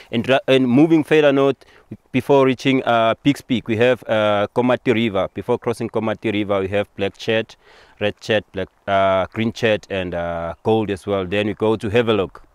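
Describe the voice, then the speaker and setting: A man's voice: on the low side, clean tone, no echo. A man from Eswatini, a native Siswati speaker with a Swazi accent, explains things as a local tour guide, repeating and rephrasing simply.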